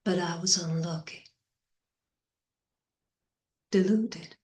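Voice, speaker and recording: Fragile, haunted Southern woman, acted by a woman, theatrical monologue. Slow, halting, whispery delivery, echoing room. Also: fairly low pitch, fairly even intonation, a little mellow. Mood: regretful. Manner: halting, breathy, drifting into whispers. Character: fragile, haunted Southern woman recalling doomed young love. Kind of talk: dramatic stage monologue. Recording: echoey room.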